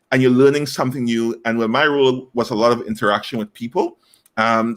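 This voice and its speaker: A man in his thirties from Trinidad and Tobago, answering interview questions earnestly with frequent fillers and restarts. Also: fairly low pitch. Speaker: guest, inclusive recruitment specialist.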